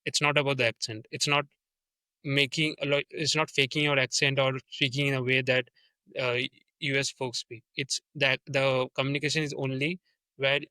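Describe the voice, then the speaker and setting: A man's voice: medium pitch, flat delivery, normal speed, bright, forceful tone, clear, resonant tone, no room sound, low-quality recording. A young man with an Indian accent, a sales rep talking through his work in a casual interview, earnest and full of restarts and fillers. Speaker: sales development representative, guest.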